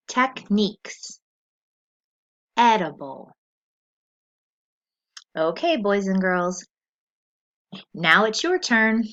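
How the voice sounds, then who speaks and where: A woman teacher reading a lesson aloud to children, slow and clear, pausing so they can answer. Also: medium pitch, fairly bright tone, highs cut off.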